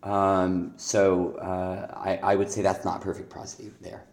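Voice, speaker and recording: A man with a cold and a hoarse voice gives a student spoken feedback on song lyrics, hesitant and full of ums. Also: low voice, little pitch movement, darkish tone, reverberant. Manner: hesitant, many ums, speaks lyrics aloud slowly. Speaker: songwriting teacher.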